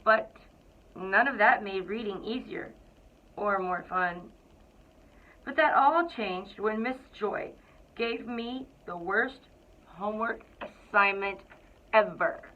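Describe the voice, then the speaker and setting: A woman's voice: mid-range voice, takes it slowly, pauses often. Woman reading aloud, thin phone-like sound. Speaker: educator reading aloud to school students. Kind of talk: read-aloud of a children's picture book.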